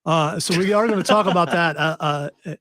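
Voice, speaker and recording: Chatty higher-voiced man hosting live. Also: normal speed, a bit bright, no room sound, clean studio sound. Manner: chatty, joking, rambling. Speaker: podcast host. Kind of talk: casual live show chat. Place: studio.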